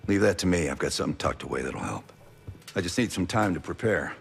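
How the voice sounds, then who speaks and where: Casting: self-assured, calculating operative, very low gravelly voice with lively inflection, cinematic game drama. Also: male voice, fast talker. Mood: cool, assured.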